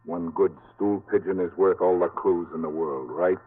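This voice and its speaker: Earnest confiding client, very low and husky, vintage radio. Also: male voice, steady tone, moderate pace. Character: shrewd middle-aged man with paid informants. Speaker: client who hires the detective. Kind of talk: 1950s radio drama acting. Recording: tinny old radio broadcast.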